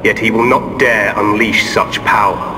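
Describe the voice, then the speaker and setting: A man's voice: fairly low pitch, steady tone, normal speed, close to an everyday voice. A man playing a cold, contemptuous mechanical warlord in a video game, imperious and menacing. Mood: menacing, scornful. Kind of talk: dramatic video game villain acting. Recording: long hall reverb, music behind.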